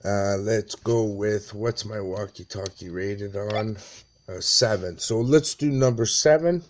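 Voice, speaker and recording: A man in his thirties giving a casual how-to walkthrough in a halting, plain-spoken way, pausing often. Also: fairly low pitch, a little hiss or hum. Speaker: amateur tutorial presenter. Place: small dim room indoors.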